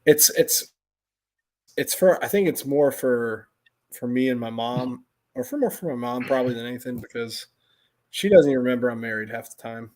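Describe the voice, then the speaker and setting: A middle-aged American man talks off the cuff in an everyday mid-pitched voice. He rambles through stories, doubling back and restarting his thoughts as he goes, in the relaxed style of a casual livestream. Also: normal speed. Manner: rambling, self-interrupting storytelling.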